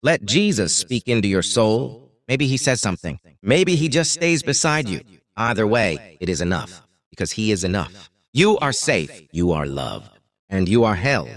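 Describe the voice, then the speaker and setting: Man, guided meditation narrator, soothing, deliberate, short paused phrases, highly varied intonation. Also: very animated, no echo, studio quality.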